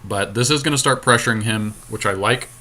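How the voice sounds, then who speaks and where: A man's voice: fairly low pitch, a little fast, bright, forceful tone. A man giving casual, conversational commentary as he plays a card game as a podcast co-host.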